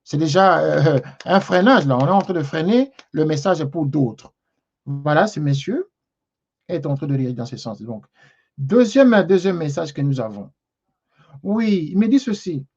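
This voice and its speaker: A man hosts a television talk show, reading and answering viewer messages. He talks haltingly, with a slightly high voice, and pauses often. The broadcast sound is dull and lo-fi. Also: expressive, a little mellow, slightly rough. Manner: hesitant, many fillers and restarts.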